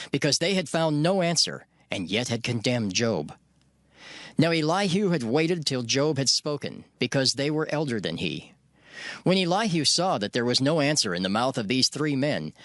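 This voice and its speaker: A man narrating scripture from a script in a measured, formal storytelling manner. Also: mid-range voice, sing-song, a bit bright, no room sound, studio quality.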